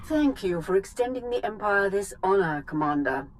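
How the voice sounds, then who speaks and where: An actress playing a haughty, regal empress in a video game, with formal and persuasive speech. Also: female voice, mid-range voice, lively intonation, normal speed, slight background noise, natural voice, rough recording. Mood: gracious. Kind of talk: video game cutscene acting.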